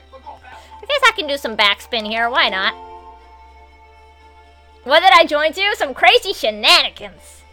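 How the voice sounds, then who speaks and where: A woman in her twenties chatting casually as she live streams a game, excitable and foul-mouthed. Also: sits high. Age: 20s. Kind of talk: casual gameplay commentary and chat with viewers. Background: video game music and sound.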